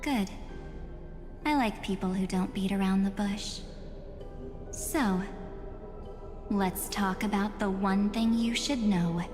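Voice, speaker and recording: An actress playing an enigmatic young woman in a video game, gentle and teasing, with soft, breathy phrase endings. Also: medium pitch, lightly acted. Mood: solemn, wistful. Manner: soft, coy, unhurried, with breathy trailing ends. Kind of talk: dramatic video game dialogue. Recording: reverberant hall sound under music.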